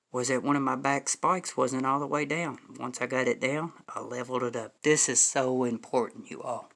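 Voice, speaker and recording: A man's voice: medium pitch, fairly bright tone. Folksy American man, casual review talk. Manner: folksy, chatty, addresses viewers as "you all". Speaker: audio gear reviewer and host. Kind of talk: casual product review vlog.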